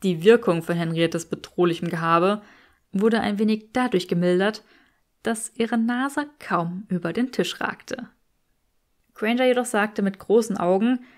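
A woman's voice: mid-range voice, darkish tone. A woman reading scripted story narration as an audiobook narrator.

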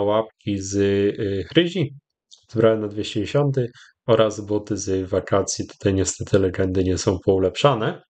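A Polish man hosting a gaming channel, giving casual, rambling commentary with hesitant, drawn-out pauses. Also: fairly low pitch, darkish tone. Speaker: YouTube gaming host. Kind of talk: casual commentary over gameplay.

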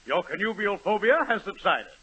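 A man's voice: high-pitched, animated, normal speed, pushed and bright, husky, heavily acted. A man playing a pompous, mock-clinical psychiatrist in a 1930s radio comedy sketch. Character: pompous male psychiatrist, confident. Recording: muffled 1930s radio broadcast.

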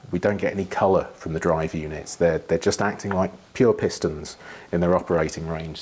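A middle-aged man with a husky voice, giving a technical explanation in an interview, pausing thoughtfully as he phrases things. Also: sits low. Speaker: loudspeaker engineer being interviewed. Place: living room with wood panelling and large window.

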